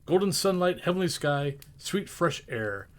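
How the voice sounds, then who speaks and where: A man's voice: a little high, lively intonation, measured pace. A man reading a classic story aloud as narrator, theatrical and emphatic, now and then stumbling over a word. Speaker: audiobook narrator.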